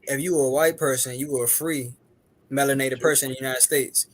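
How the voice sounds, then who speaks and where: A man's voice: medium pitch, fairly even intonation, a little fast. Man explaining points with examples. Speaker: panelist. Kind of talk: casual panel discussion.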